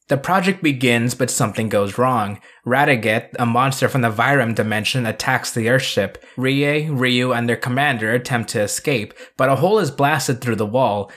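A man's voice: medium pitch, fairly bright tone. Wry American man narrating a video essay. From United States. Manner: wry, joking, self-aware. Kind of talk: scripted retrospective narration with casual asides.

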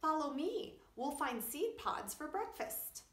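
A woman's voice: sits high, big pitch swings, slow, voice pushed up, exaggerated voice. A woman voicing an eager, bumbling father quail in a storybook, with bold, encouraging calls. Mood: eager leading. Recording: roomy, distant camera microphone.

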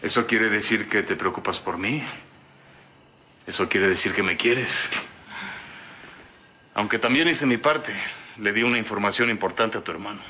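A man's voice: on the low side, moderate pace, pauses often, bright, forceful tone, a little hiss or hum. A man playing a cocky, scheming ranch right-hand man in a telenovela. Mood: smug, flirtatious. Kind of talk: telenovela acting.

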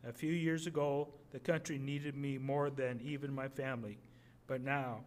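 An older man reading a wartime letter aloud at a podium microphone, measured and a little halting. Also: mid-range voice, level tone. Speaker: readers theater reader. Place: museum gallery, indoors.

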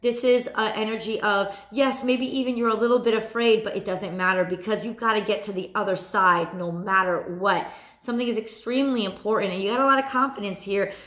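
Hoarse lady doing a tarot reading on webcam, lively and repeaty, kinda echoey room. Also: medium pitch, a little fast. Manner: animated, repeats phrases, chatty asides. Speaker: tarot reader. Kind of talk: weekly tarot reading to camera. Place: small room at home.

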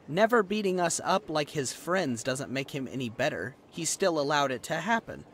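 A man's voice: a little high, very animated, normal speed, a bit bright, a little hiss or hum. A young man acting a resentful former bullying victim in a roleplay audio drama, with a sarcastic, confrontational manner. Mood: bitter, scornful. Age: young adult.

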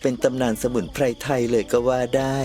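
Man playing a patient, mid-pitched cartoon ghost friend. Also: little pitch movement, brisk, mellow, soft background music, natural voice. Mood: knowing, explanatory. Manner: placating, patient, conversational. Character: calm, reasonable male ghost friend, mediating and explaining. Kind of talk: cartoon comedy dialogue.

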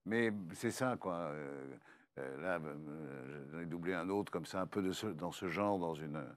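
A man who works as a voice actor, chatting casually in a playful, expressive way and enjoying wordplay. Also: low voice, unhurried. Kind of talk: casual chat.

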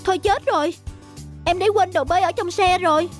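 An actress playing a proud young wife in a cartoon, speaking in an expressive, emphatic way. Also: female voice, very high-pitched, brisk. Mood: dismayed. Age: young adult. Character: haughty young wife, quick to scold, later sheepish. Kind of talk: cartoon voice acting. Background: faint music.

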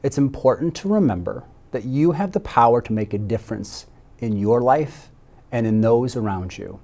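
A middle-aged man, a school principal, speaks to graduates in a reflective, heartfelt manner. His voice sits at a typical pitch for a man. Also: animated, moderate pace, creaky, a little mellow. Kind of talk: prepared commencement speech.

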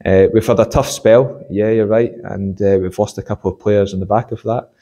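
A young man, an assistant football manager, giving a measured post-match interview with many fillers, in a stadium corridor. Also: fairly low pitch, steady tone, mellow. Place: corridor by a sports changing room.

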